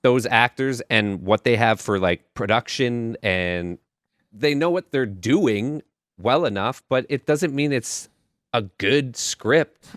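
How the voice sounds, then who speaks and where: A man co-hosting a casual podcast, halting as he explains and acting out imagined dialogue in an animated way. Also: medium pitch, no echo, studio quality. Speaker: podcast co-host.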